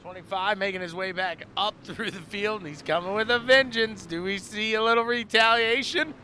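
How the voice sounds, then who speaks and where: A man calling a live dirt-track race as the track announcer, animated and repeating phrases for emphasis. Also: high voice, moderate pace. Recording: loud engine and track noise. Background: race cars and outdoor track noise.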